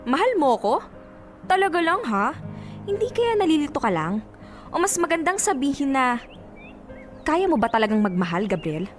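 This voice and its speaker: Spurned young Filipina, high voice, wounded, reproachful, distrustful, emotional radio drama, music behind. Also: female voice, very animated, normal speed, long pauses. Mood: disbelieving. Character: hurt, spurned young woman, proud and distrustful. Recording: music under the dialogue.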